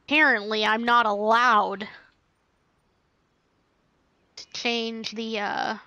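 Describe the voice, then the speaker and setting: A woman chatting casually and haltingly as she hosts a video game livestream. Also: fairly high pitch, level tone, bright, forceful tone, no room sound.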